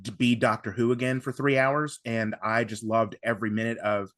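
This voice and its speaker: A man speaks enthusiastically as a guest in a casual fan discussion. His pitch is average and the sound is dry. He rambles in a halting way, often circling back to reword things. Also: level tone, a bit bright, dry recording. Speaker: podcast guest.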